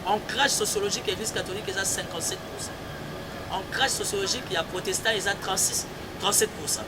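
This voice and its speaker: A man's voice: sits high, steady tone, fast. A man with a Congolese French accent, a church spokesperson arguing a political point in an emphatic debate, repeating key phrases and mixing in Lingala. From Congo-Kinshasa. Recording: loud street traffic noise.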